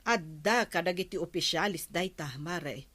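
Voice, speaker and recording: A woman with an Ilocano accent acting a village captain's nosy, sharp-tongued wife in a radio comedy-drama, questioning pointedly. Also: fairly low pitch, moderate pace, dry recording. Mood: defensive, scornful.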